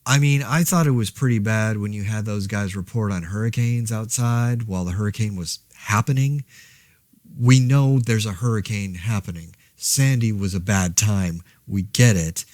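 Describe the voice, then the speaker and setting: Guy acting as a wry rambling podcast dude stuck in the apocalypse, lowish voice, dry close sound, keeps second-guessing himself. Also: moderate pace, a little mellow, no echo, lightly acted. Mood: exasperated, rambling. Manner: rambling, wry, hesitant, self-correcting. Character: laid-off, rambling New York man podcasting through a zombie outbreak. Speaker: podcast host. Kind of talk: casual podcast monologue in a fiction audio drama.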